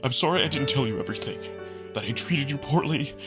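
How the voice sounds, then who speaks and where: A man voicing a proud male wolf prince who speaks in a halting, emotional, apologetic way, distraught and earnest. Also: medium pitch, animated, over loud music, pitched above the performer's own voice, close to an everyday voice. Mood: remorseful.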